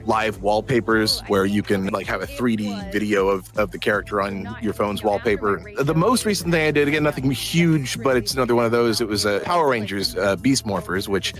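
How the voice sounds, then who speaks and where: A man's voice: fairly low pitch, brisk, hardly any pauses, music in the background. A man speaking as an interviewed voice actor in a casual, modest, rambling way. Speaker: voice actor and musician being interviewed.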